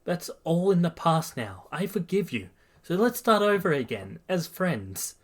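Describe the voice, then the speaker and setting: Earnest teenage boy hero, read by a man: gentle peacemaker, pleading, higher pitch, storytelling. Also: sing-song, unhurried. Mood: forgiving.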